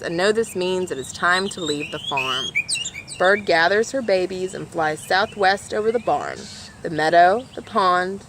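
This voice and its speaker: A woman's voice: lowish voice, fairly bright tone, a little hiss or hum. A woman reading a children's picture book aloud, explaining things directly to her listeners as she goes. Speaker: storybook reader for a nature organization.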